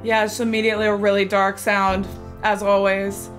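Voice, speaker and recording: A woman's voice: medium pitch, flat delivery, moderate pace, loud music behind the voice. A woman with a stuffy nose from a cold, chatting enthusiastically as she reacts to a new song. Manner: gushing, enthusiastic, chatty with fillers. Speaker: music reaction vlogger. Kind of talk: casual chat.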